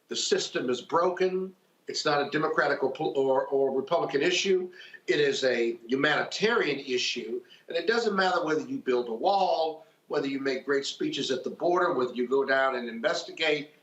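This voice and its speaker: A man with a mid-pitched voice explains matters as a news panel analyst. His delivery is measured and lawyerly, and the sound is roomy and a little dull, like a remote feed. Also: a bit bright. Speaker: legal analyst, panel guest. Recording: echoey, slightly dull remote-call audio.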